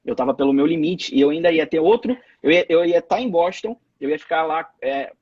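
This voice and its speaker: A man's voice: fairly high pitch, animated, brisk, husky, no echo, lo-fi sound. A young Brazilian man in his twenties, a guest telling stories in a casual interview, with many restarts and repeated words. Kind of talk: casual interview over a live video call. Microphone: phone or laptop mic on a live stream.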